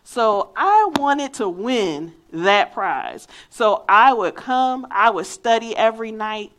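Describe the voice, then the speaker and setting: A woman's voice: fairly high pitch, animated, takes it slowly, fairly bright tone, poor audio. A woman from Philadelphia with a faint Philadelphia accent, preaching a sermon in an earnest, emphatic way and weaving in a personal story. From Philadelphia, USA.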